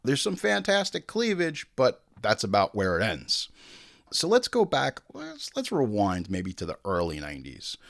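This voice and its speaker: A middle-aged American man talks casually as a podcast host, with a lowish voice in a very dry recording. He jokes freely and leans on hyperbole and swearing. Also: huge pitch swings, normal speed, gravelly, fairly bright tone, no room sound. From Long Island, New York, United States. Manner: hyperbolic, joking, profane. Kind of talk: casual humorous monologue.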